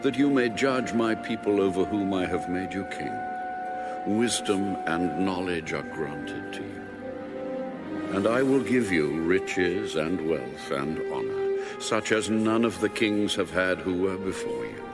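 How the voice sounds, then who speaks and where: A majestic divine ruler in an audio Bible drama. The voice is very low and unhurried, solemn and full of authority. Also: male voice, expressive, loud music behind the voice. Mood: solemn, granting.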